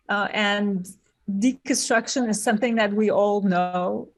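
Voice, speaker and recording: A woman who grew up in Turkey, a guest designer on a podcast, explaining her process thoughtfully and step by step. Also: mid-range voice. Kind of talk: podcast interview.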